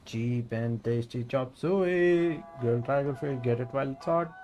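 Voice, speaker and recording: A man's voice: medium pitch, unhurried, a little mellow. Male Hindi-speaking streamer over game music. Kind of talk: reading game dialogue aloud on livestream. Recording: game music clearly behind.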